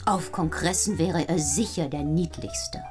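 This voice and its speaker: An actress in a German radio play playing a pert, independent young cabaret singer, candid and cheeky in a matter-of-fact way. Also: female voice, low-pitched, a little slow, music in the background. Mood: breezy.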